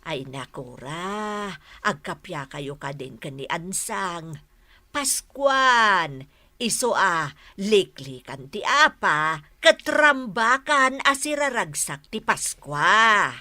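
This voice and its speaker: Motherly ilocano nana in a radio drama, slow and bright, dry studio sound, gently nagging. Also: medium pitch, sing-song, no echo, heavily acted. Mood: gentle, coaxing. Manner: coaxing, admonishing. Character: motherly, well-meaning elder woman urging feuding neighbours to reconcile. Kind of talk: Philippine radio drama acting.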